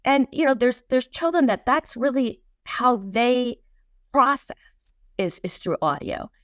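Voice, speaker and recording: A woman guest answering in an interview, with emphatic, deliberate phrasing and repeated points. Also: a little high, lively intonation, no echo, studio quality. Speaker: guest, children's book author.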